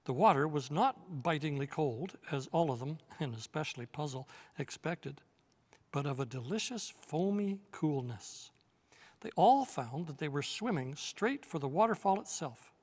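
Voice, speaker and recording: An older man reads a storybook aloud in a measured, expressive way. His voice sits a little high for a man. It carries a long reverberant tail. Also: slightly rough. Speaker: reader. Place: studio set with armchair.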